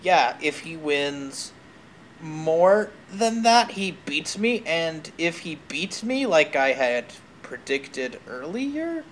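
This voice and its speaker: A man chatting casually as he streams a game, telling stories haltingly and drifting off on tangents, with some noise in the recording. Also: on the high side, sing-song, fairly bright tone. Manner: halting, tangent-prone storytelling. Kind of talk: casual rambling chat over gameplay.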